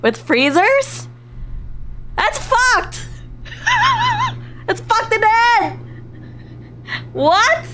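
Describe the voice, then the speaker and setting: A woman livestreaming a visual novel, reading story text aloud and commenting on it in an animated, reactive way. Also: extremely high pitch, drawn-out words, pauses often, slight background noise. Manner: animated, reactive, giggly, drops into hushed narration. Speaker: streamer playing a visual novel live.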